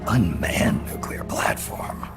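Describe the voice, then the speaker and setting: An actor playing a gruff, terse male mercenary soldier in a video game cutscene, with a gravelly, breathy rasp and halting delivery. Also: whispered, close to an everyday voice. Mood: grave, probing. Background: music.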